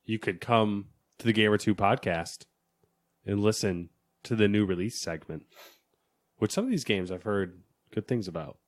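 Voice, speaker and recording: A man's voice: on the low side, expressive, normal speed. A man co-hosting a gaming podcast in casual chat, laid-back, with short replies. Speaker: podcast co-host.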